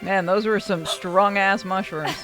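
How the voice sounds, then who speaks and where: A man's voice: high-pitched, steady tone, a little slow, poor audio. A man playing a video game and bantering casually in a teasing, jokey way, with game music behind him. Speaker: player in a game playthrough.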